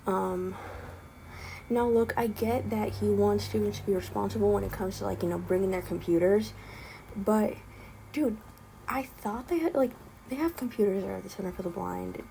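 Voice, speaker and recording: A young woman with a slight lisp talks in a loose, rambling rant, full of ums and exasperated asides. Her voice is mellow and gravelly, with faint noise under the recording. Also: medium pitch, moderate pace, rough recording. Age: young adult. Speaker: vlogger. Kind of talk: casual spoken rant.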